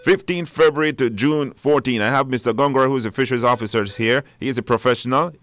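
A man's voice: mid-range voice, fast talker, a bit bright, no room sound. Man hosting radio, close dry sound. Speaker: radio talk show host. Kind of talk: live radio hosting with call-ins.

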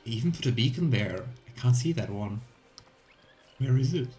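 A man's voice: medium pitch, lively intonation, normal speed, mellow, soft background music. A man in his thirties giving casual game commentary as a streamer, musing aloud to himself. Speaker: let's play streamer. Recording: game ambience noise behind voice. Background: video game ambience.

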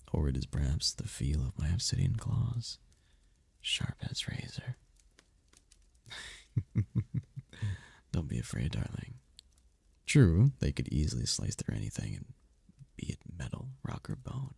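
A man playing a seductive, imperious demon prince in an ASMR romance roleplay, teasing and possessive, with a husky, slow, theatrical purr. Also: low voice, warm tone, dry recording, full character voice. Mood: seductive, reassuring. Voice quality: gravelly, husky, often breathy whisper. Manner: slow, purring, theatrical, commanding.

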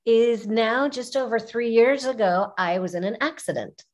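A middle-aged woman whose first language is Arabic, telling personal stories as an interview guest in an expressive way, repeating phrases for emphasis. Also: on the high side, moderate pace. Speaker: podcast guest, image consultant. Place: small furnished room indoors, video call. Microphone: video call, seated at webcam distance.

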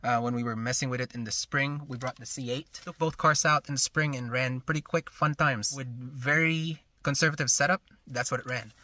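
A man in his thirties filming himself at arm's length, giving a relaxed, rambling walkaround of his car in a garage and then while driving. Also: medium pitch, fairly quick, few pauses, no echo. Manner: relaxed, rambling, many ums. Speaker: shop owner showing his car. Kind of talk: casual vlog walkaround. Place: car shop garage, then inside a moving car. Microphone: camera held at arm's length.

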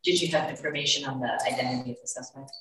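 A woman's voice: very deep voice, steady tone, very fast, fairly bright tone. A woman speaking as a prosecutor, putting formal questions to a witness in court. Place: courtroom, picked up from afar. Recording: echoey courtroom, distant mic, faint noise.

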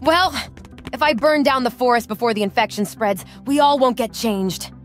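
A performer voicing an eager, foolish young animal fan in a comic dub, excitable and naive. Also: medium pitch, moderate pace, faint music behind, natural voice. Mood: sheepish.

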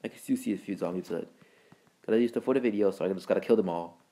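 Low-voiced man, casual gameplay commentary. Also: dark tone. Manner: rambling, halting, informal. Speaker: gaming video creator and host.

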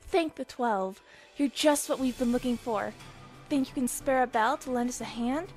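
An actress plays an eager young woman of a fishing guild in a fantasy game fan dub. Her voice sits fairly high. She speaks in a folksy, pleading way over music. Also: moderate pace, close to an everyday voice.

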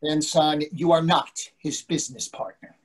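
A man's voice: fairly high pitch, fairly even intonation, normal speed, breathy edge. A man in his sixties acting in a comic readers theatre play as a dry, commanding management consultant, over a video call. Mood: dry, challenging. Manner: dry, authoritative. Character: self-assured older management consultant, dryly commanding husband. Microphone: computer microphone on video call.

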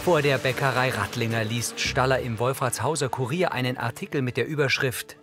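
A man narrates the action of a drama in short scripted present-tense sentences. His delivery is measured and matter-of-fact, at an average pitch, with music clearly audible behind him. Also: fairly even intonation. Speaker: audio description narrator.

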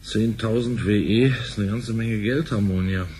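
A man's voice: fairly low pitch, a little slow, a little mellow, a little hiss or hum, natural voice. An actor playing a grumbling, suspicious man who works at a bank, in conversational radio play style. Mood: uneasy, grumbling.

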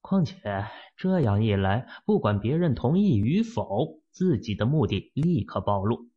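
Husky male audiobook narrator. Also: measured pace, muffled recording. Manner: expressive storytelling with lively pitch swings. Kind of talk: dramatic novel narration.

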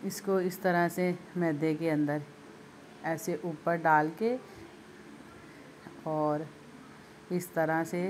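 A woman's voice: sits low, level tone, extremely slow, long pauses, a little mellow, a little hiss or hum. A woman demonstrating a recipe step by step in her home kitchen, speaking patiently to viewers and repeating her instructions. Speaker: home cooking video host.

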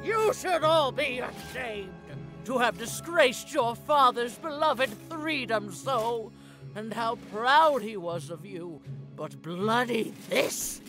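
A woman voicing a stern, solemn armored bodyguard in a video game, with a deliberate delivery. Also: fairly high pitch, animated, a bit bright, faint music behind, low-quality recording. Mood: scolding. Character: stern, remorseful armored bodyguard, a one-armed warrior.

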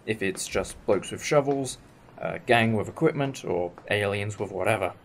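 A man's voice: on the low side, moderate pace. A man playing a wry, old-fashioned time-travelling alien leader in a fan audio drama, explaining things with some hesitation. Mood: planning calmly. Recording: amateur recording with clear background noise.

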